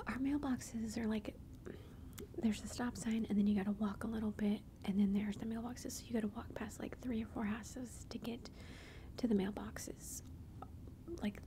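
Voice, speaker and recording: A woman telling a personal story in a soft, chatty whisper, ASMR style. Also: medium pitch, slight background noise. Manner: soft unvoiced whisper throughout; chatty, conversational asides.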